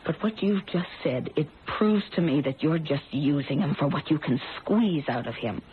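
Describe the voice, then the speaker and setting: An actress playing a mild, lovelorn woman turned jealous avenger, speaking earnestly and deliberately in radio drama style. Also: sits low, expressive. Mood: grimly resolved. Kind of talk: old-time radio drama acting. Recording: noisy, tinny old radio recording.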